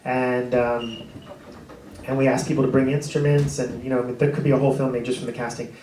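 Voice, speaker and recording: A man, a film director, telling behind-the-scenes stories on a stage with a handheld mic, rambling and animated. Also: mid-range voice, brisk, recorded from a distance, a little hiss or hum. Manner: rambling, animated, gestures a lot. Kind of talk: storytelling in a panel talk. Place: hall with audience. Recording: echoey hall taped from afar.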